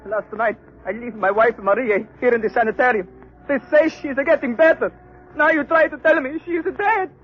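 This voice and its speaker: An actor playing a bereaved, disbelieving immigrant husband in a 1930s radio drama, on an old recording. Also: male voice, very high voice, normal speed, muffled recording, soft background music. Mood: anguished disbelief.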